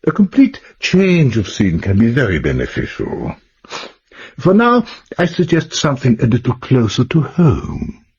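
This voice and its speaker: An actor playing an authoritative, faintly sinister doctor in a radio drama, measured, clinical and precise. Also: male voice, mid-range voice, huge pitch swings, darkish tone, breathy edge, lo-fi sound, heavily acted. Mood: coolly advising. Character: smooth-mannered, clinical Wimpole Street doctor, authoritative and sinister.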